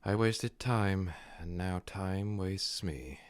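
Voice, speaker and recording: A man's voice: deep voice, slow pace, clear, resonant tone. A man playing a guilt-ridden, fatalistic man hunted by a monster, speaking in a formal, theatrical audio drama manner. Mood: resigned, haunted. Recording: faint background noise.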